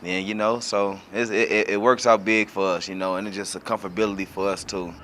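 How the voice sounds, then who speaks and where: Lowish-voiced man, informal interview. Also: little pitch movement, quick pace, fairly bright tone, slight background noise. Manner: informal, colloquial. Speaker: football player being interviewed. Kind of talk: casual post-game interview.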